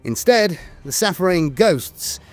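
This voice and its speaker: Animated man narrating, fairly high voice. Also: slow, soft background music. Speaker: lore narrator. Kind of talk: scripted narration of war fiction.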